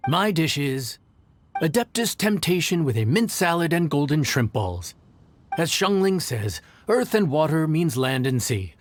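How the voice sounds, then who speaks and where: A man's voice: fairly high pitch, very animated, a little slow, soft background music. A man playing a proud, earnest inn chef in a video game, presenting with dedication. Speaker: chef contestant.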